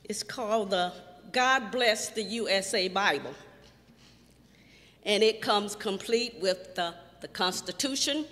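An older woman giving an earnest, measured announcement at a church microphone. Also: medium pitch, expressive, a bit bright. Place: church hall. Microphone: stand mic. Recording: reverberant church hall.

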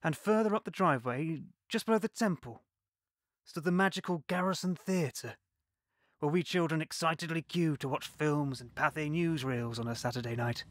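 A man's voice: a little high, moderate pace, no room sound, lightly acted. A man voicing an older man reminiscing, dry. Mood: reflective. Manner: calm, reminiscent. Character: older man recalling his wartime childhood. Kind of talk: reflective narration in an audio play.

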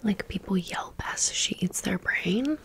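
Female ASMR creator, breathy whisper, very unhurried, chatty and rambling with dry playful asides. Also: mid-range voice, animated. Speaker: ASMR content creator presenting toys. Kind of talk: whispered ASMR show-and-tell with casual chat.

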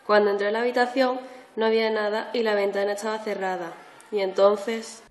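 A woman's voice: mid-range voice, level tone, fairly quick. Girl reading a scary story, super noisy recording, echoey room. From Spain. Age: teenager. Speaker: narrator. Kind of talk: scripted horror story narration.

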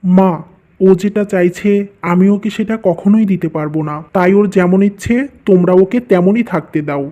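An actor voicing a troubled, dutiful young husband and son, earnest and weary, in an animated family melodrama. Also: medium pitch, moderate pace, close to an everyday voice. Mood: reluctant, firm. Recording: dull, lo-fi muffled sound.

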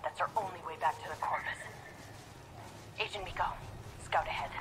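A woman's voice: lowish voice, normal speed, background noise, close to an everyday voice. An actress playing a steely, decisive female military commander in a video game, with clipped, authoritative delivery. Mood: brisk, determined. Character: steely female Imperial special forces commander, curt and decisive.

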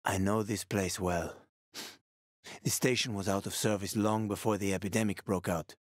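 A man's voice: lowish voice, steady tone, normal speed, dry recording, clean studio sound, close to an everyday voice. An actor playing a wry, streetwise male survivor and former cab driver in a video game, colloquial and sweary, with an urgent manner. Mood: nostalgic. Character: wry, streetwise male ex-cabbie survivor, fatherly yet shifty. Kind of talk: video game character dialogue.

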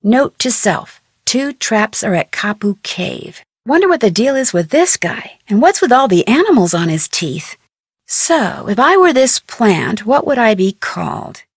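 A woman's voice: fairly high pitch, very animated, unhurried, slightly rough, dry recording, clean studio sound, full character voice. A woman voicing a plucky, curious teenage girl detective in a video game, wryly thinking aloud to herself. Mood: curious, musing. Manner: wry, curious, self-talking. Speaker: voice actor of a video game heroine.

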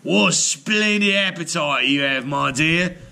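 Man voicing a gruff, booming frost giant. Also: full character voice. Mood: fond. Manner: shouting, growling, drawn-out delivery. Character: enormous gloating male frost giant, gruff, lovesick bridegroom.

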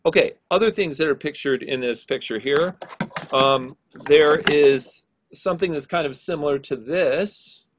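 A man's voice: a little slow, noisy background. Man teaching an online class. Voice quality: low voice. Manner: casual, explaining with many fillers. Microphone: video call.

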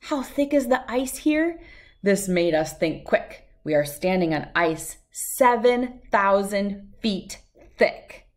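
Middle-aged female storybook reader, mid-pitched, measured sing-song delivery of rhyming children's verse. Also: animated. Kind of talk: read-aloud of a rhyming picture book.

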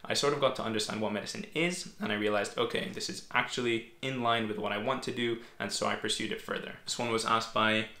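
A man's voice: lowish voice, fairly even intonation, fast talker, few pauses, pushed and bright. A young man in his twenties, a medical student, chatting casually through viewer questions at a desk in a small room. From Greece. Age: 20s. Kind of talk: casual Q&A vlog. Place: small bedroom home office, indoors. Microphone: fixed camera at desk. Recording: echoey room.

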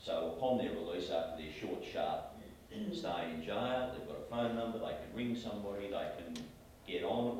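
An Australian man speaking as a panelist in a measured, reflective way. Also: sits low, darkish tone, a little hiss or hum. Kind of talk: panel discussion. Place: small meeting room. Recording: echoey room from far off.

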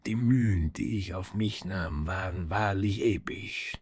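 A man's voice: low voice, measured pace, a little mellow, breathy edge, no room sound, studio quality. A man playing a proud, vengeful dwarf smith from the icy north, speaking in solemn, grandiloquent phrases in a fantasy audio story. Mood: proud, fervent.

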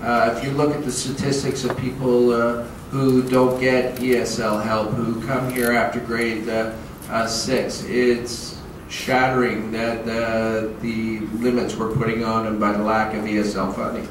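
A man in his thirties makes his case on a panel, scattered with filler words but pressing toward a firm call. The sound comes from across a big hall with heavy echo. Steady room noise runs underneath. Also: mid-range voice, a little slow. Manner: many filler uhs, emphatic calls to act. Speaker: politician on a panel. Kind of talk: panel debate answer. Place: large hotel meeting room. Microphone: handheld mic. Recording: far-off echoey hall with noise.

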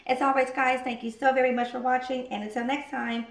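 A woman in her thirties talks through a home cooking vlog in a relaxed, chatty way, her voice sitting a little high. She is picked up from a distance by a camera mic. Her voice echoes around a hard-surfaced kitchen. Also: fairly quick. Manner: chatty, relaxed, laughs at herself. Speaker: vlogger. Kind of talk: casual cooking vlog narration.

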